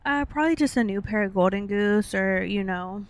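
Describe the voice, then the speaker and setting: A woman's voice: medium pitch, normal speed, clear, resonant tone, dry recording. A young adult woman co-hosting a podcast, chatting informally as she reads out and discusses news, often hedging as she goes. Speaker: podcast co-host. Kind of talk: casual chat, reading out and discussing news.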